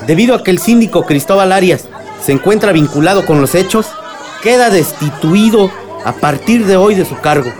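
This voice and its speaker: A man acting a composed, authoritative municipal president making a formal, measured address in a radio drama. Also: high voice, expressive. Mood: formal, calming. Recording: crowd noise around the speaker.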